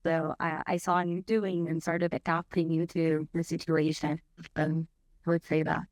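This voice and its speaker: A woman's voice: low voice, flat delivery, moderate pace, darkish tone, dry recording. A woman speaking as a panelist who answers in a thoughtful, somewhat hesitant way.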